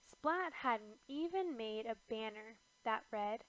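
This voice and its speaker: Female storybook narrator for young children: very unhurried, expressive, pitched fairly high. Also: rough recording. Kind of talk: scripted children's read-aloud narration.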